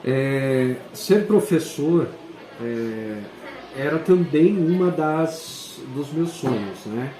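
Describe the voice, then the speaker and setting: Principal, 40s, warm mellow tone, halting delivery, roomy echo. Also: male voice, mid-range voice, lively intonation, a little hiss or hum. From southern Brazil. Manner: hesitant, pausing often to search for words. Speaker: school principal. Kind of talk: answering interview questions. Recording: echoey room.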